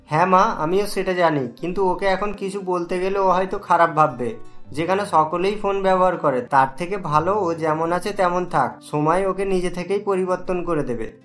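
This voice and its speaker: A man's voice: sits high, moderate pace, a bit bright, faint music behind. A man voicing a caring, patient young husband and son in a Bengali cartoon, speaking reassuringly.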